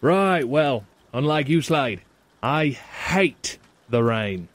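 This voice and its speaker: A man playing a weary, polite but easily exasperated traveler in a fantasy audio drama, with a theatrical, halting manner. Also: mid-range voice, lively intonation, dry recording. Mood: grumbling.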